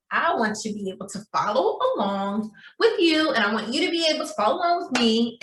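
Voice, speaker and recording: A woman's voice: fairly high pitch, fairly bright tone. A woman preschool teacher reading aloud and leading a craft lesson in a sing-song way, asking young children questions. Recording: roomy, echoey indoor sound.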